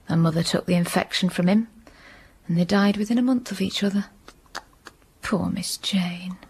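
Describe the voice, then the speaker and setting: A kind young nursery maid, played by a woman speaks softly and soothingly. She sounds gentle and motherly in a period radio drama with faint hiss. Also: lowish voice, normal speed, lightly acted. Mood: sad. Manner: warm and soothing.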